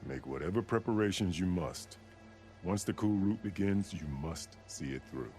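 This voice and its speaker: Really deep booming war chief, stern and formal, game music under it. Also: male voice, animated, moderate pace, warm tone. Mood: solemn commanding. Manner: formal, solemn. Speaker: game character. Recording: game audio with music behind.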